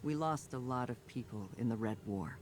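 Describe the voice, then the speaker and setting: A man's voice: mid-range voice, normal speed, slight background noise, close to an everyday voice. A man playing a resolute, burdened military commander, earnest and firm, in a video game story monologue. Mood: grim, regretful.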